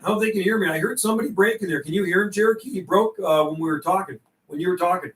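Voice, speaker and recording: High-voiced man on CB radio. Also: very fast, slight background noise. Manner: repeats and relays messages, slangy. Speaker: CB radio operator and livestream host. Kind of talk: casual radio relay chat. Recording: roomy, distant microphone.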